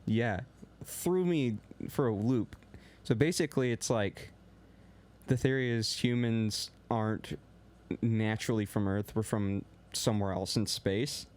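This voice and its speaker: Young male co-host, lower voice, loud and rambling, casual podcast chat. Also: measured pace. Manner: loose and rambling, heavy on filler words; talks a little loud.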